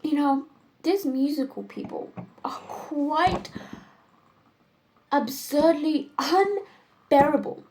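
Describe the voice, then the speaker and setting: A young woman playing a witty, fussy upper-class society lady in a stage comedy monologue, deliberate and complaining. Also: sits high, darkish tone, a little hiss or hum, poor audio.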